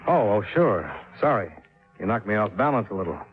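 A self-reliant, hard-boiled investigator from a 1950s radio serial. His voice sits on the low side, with a dry, clipped, wry delivery. The old recording is dull, with music clearly behind it. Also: big pitch swings, brisk. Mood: wry, guarded. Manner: clipped, dry, matter-of-fact. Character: cool, shrewd freelance male insurance investigator, wry and self-reliant. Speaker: lead actor and narrator of a radio detective serial. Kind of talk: 1950s radio drama acting, first-person hard-boiled narration.

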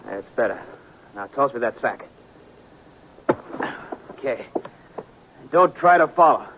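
A man's voice: mid-range voice, expressive, slow pace. An American man playing a nervy young masked robber in a 1950s radio western. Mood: tense, commanding. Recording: muffled, noisy 1950s radio recording.

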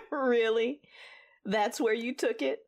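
A woman's voice: a little high, slow, creaky. A woman acting as an intense, passionate Jedi knight, narrating her own story in a confessional, introspective audio drama style. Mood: teasing, tender. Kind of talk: first-person audio drama narration with dialogue.

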